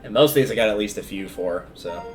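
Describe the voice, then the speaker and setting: Low-voiced man casually commentating a game. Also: expressive, fast. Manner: rambling, thinking aloud. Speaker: livestreamer playing a video game. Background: video game music.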